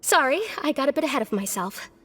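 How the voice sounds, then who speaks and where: Earnest prim nurse helper in a game, high lively voice, polite but lecturing, echoey. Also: female voice, quick pace, very noisy. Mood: indignant. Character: prim, earnest female prisoner helping as nurse. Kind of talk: English game dub acting.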